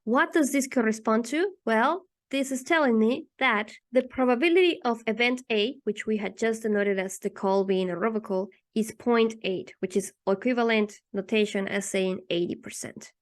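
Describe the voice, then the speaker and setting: A woman's voice: on the high side, normal speed, hardly any pauses. A woman teaching, methodically explaining a math problem step by step as she writes it out, with rhetorical questions along the way. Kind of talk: explaining a math problem while writing on paper.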